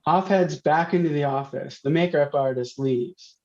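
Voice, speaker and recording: A man's voice: on the high side, normal speed, darkish tone. A man reading stage directions as scripted narration for a comedy table read. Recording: slightly dull, lo-fi sound.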